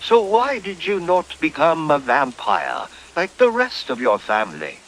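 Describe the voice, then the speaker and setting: A man's voice: a little high, big pitch swings, unhurried, fairly bright tone, poor audio. A man playing a genteel, formal lord in an old-time horror-comedy radio play, with courtly, theatrical, old-fashioned diction. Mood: curious. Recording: hissy track with rain noise. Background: rain and hiss.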